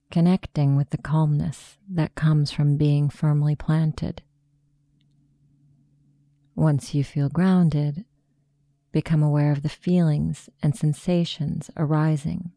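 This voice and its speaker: A woman narrating a guided meditation, giving soft, deliberate, soothing instructions into a close microphone. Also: deep voice, dry recording.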